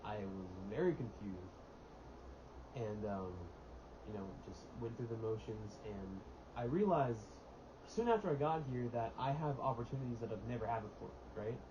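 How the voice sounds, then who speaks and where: A teenage boy talking casually on a student podcast about his plans, relaxed and chatty, with plenty of fillers. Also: lowish voice, moderate pace. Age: teens. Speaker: podcast co-host. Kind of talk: casual chat. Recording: dull lo-fi mic with steady hiss.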